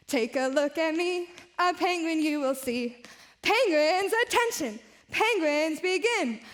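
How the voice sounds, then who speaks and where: A young woman leading playful action songs for children. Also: sits high. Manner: bright, playful sing-along. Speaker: children's song leader. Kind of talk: singing action songs for kids.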